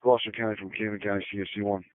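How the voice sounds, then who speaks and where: Very fast-talking man on thin radio. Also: fairly low pitch, level tone, no echo. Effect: two-way radio. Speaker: emergency responder on radio. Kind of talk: terse radio traffic. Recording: thin narrowband radio transmission.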